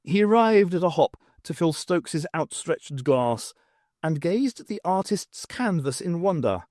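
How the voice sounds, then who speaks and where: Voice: mid-range voice, sing-song, unhurried, darkish tone, no echo, clean studio sound. A narrator reading a prose story as a scripted audiobook.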